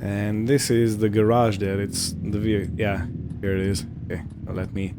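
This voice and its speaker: A man giving casual roleplay game commentary, thinking aloud in short, unhurried phrases over a background hum. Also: lowish voice, little pitch movement. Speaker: gaming video host.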